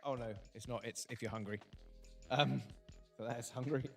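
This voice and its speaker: A man hosting a casual live quiz, dry and wry, often pausing with ums. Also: mid-range voice, steady tone, vocal fry, a bit bright, soft background music. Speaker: quiz host.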